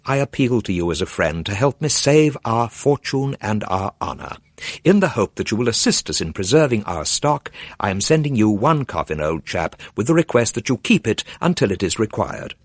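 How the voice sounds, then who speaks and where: Imploring gentleman correspondent, read by a male narrator: formal, earnest, low and husky with animated phrasing. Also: moderate pace, no room sound. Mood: earnest pleading. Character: earnest, pleading male letter writer, anxious about honour.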